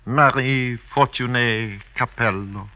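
An actor playing a smooth-talking, formal French marriage broker, eager to please, in 1950s radio drama style. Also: male voice, mid-range voice, steady tone, slow pace, natural voice. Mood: ingratiating. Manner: formal, ingratiating salesmanship. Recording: muffled vintage radio recording.

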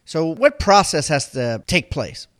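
A man hosts a podcast interview, talking in a loose, conversational way padded with fillers. His voice sits a little higher than most men's. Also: big pitch swings, a little slow, a bit bright. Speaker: podcast host.

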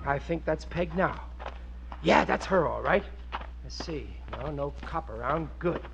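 A man's voice: fairly high pitch, expressive, unhurried, creaky, over music. An actor playing a blustering, stammering middle-aged American working-class husband and father in an old radio sitcom. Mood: scheming. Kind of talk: 1940s radio sitcom acting. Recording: muffled, hissy old radio recording.

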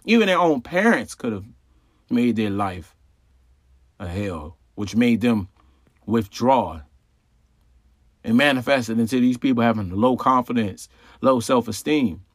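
A man's voice: medium pitch, lively intonation, unhurried, pauses often, no echo, studio quality. A man hosting an astrology talk in a casual, conversational way, repeating phrases and asking rhetorical questions. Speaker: astrology channel host. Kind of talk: casual explanatory monologue.

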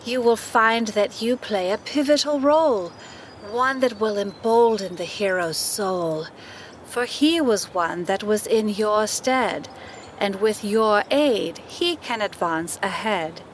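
An actress playing a theatrical fortune teller who speaks in lofty, sweet, singsong rhyme in a fantasy audio drama. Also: female voice, mid-range voice, takes it slowly, slight background noise, natural voice. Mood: mystical.

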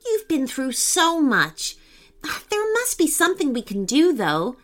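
A woman's voice: high-pitched, wildly swooping pitch, measured pace, a bit bright, voice pushed up, exaggerated voice. A woman voicing a kind, curious young child in a storybook, careful and sympathetic.

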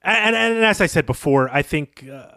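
A man's voice: on the high side, animated, moderate pace, bright, forceful tone, clean tone, no room sound, clean studio sound. A man hosting a podcast, discussing literature thoughtfully, with some fillers as he works out his points. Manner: thoughtful, wanders with fillers, then leads the topic. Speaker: podcast host.

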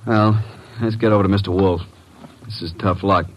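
Low-voiced wisecracking detective's sidekick. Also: male voice, fairly even intonation, moderate pace. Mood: rueful. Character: brisk, wisecracking tough male detective's assistant. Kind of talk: old-time radio mystery acting. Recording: noisy old radio recording.

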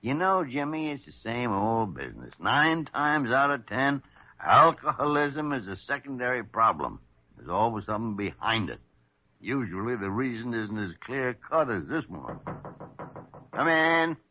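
A man's voice: mid-range voice, sing-song, moderate pace. An older man playing a crusty, irascible senior doctor in an old-time radio drama, blustery and sardonic with folksy exclamations. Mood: gruff, sardonic. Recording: muffled vintage radio broadcast.

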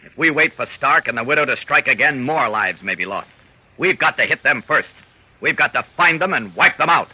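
Determined hero-reporter, rapid, husky and insistent, firing off lines in a vintage radio drama. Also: male voice, medium pitch, animated. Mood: urgent. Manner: urgent, insistent, firm. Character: determined superhero posing as a probing male reporter. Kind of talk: 1940s radio acting. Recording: muffled old radio recording.

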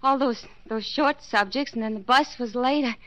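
A woman's voice: a little high, normal speed, a bit bright, muffled recording, natural voice. An actress playing a flustered, evasive wife in a 1940s radio drama, stammering out her excuses. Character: evasive, flustered young wife hiding an affair.

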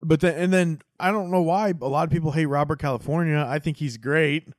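A man's voice: on the high side, fairly quick, dry recording, studio quality. A man co-hosting a podcast in casual chat, opinionated and emphatic, swearing freely. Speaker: podcast co-host.

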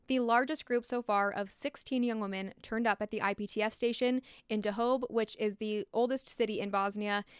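A woman's voice: mid-range voice, fairly even intonation, normal speed, few pauses, clear, resonant tone, no echo. An American woman hosting a podcast, narrating a true story with blunt, opinionated asides from a close mic. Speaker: podcast host.

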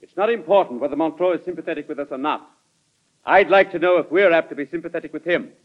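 Man as fast, combative radio-drama politician. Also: a little high, natural voice. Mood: insistent. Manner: brisk, argumentative, clipped. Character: combative, stubborn male Treasury Secretary, sharp debater. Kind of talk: old-time radio drama acting. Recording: dull vintage radio recording.

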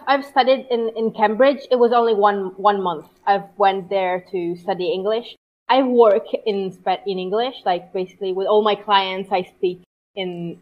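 A woman from Italy whose first language is Italian, speaking English hesitantly with frequent restarts in a casual chat. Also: mid-range voice. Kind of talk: casual conversation. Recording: slightly muffled audio.

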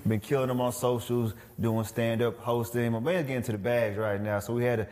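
A man's voice: medium pitch, fairly even intonation, fairly quick, darkish tone, big-room sound. A man hosting an interview show, talking casually and upbeat with lots of slang. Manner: upbeat, slangy, lots of "you know what I'm saying". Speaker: interview host.

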